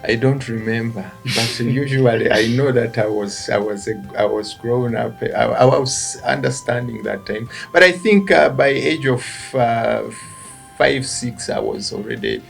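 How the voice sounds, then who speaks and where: A middle-aged Ugandan man with a Ugandan accent, answering interview questions in a halting way, often restarting words. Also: medium pitch, vocal fry, soft background music. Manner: halting, restarts words, quiet then rising when animated. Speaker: guest, event company founder.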